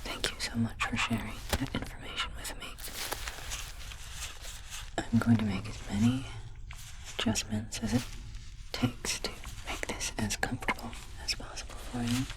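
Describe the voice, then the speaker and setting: A woman playing a calm alien translator technician in a whispered ASMR roleplay, speaking softly and carefully, like a reassuring clinician. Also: medium pitch, normal speed, pauses often, low-quality recording. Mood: gentle, reassuring.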